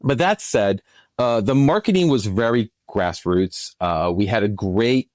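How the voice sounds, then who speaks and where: A man's voice: lowish voice, animated, unhurried, darkish tone, no echo, studio quality. A man, a producer and podcast guest, talking casually in an interview and explaining his work.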